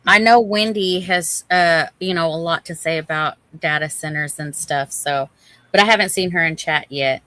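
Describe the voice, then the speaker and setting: A woman's voice: low-pitched, moderate pace, pushed and bright, no room sound. A woman co-hosting a casual livestream, chatting informally with viewers as she reads their comments. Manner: chatty, addresses and greets viewers by name. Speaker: livestream co-host reading and answering viewer chat.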